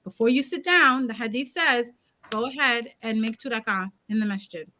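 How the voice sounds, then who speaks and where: A woman co-hosting a casual livestream chat, talkative and quick to laugh, correcting herself as she goes. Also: on the high side, sharp tone, slight background noise. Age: adult parent of a seven-year-old. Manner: chatty, laughs easily, self-correcting. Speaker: co-host.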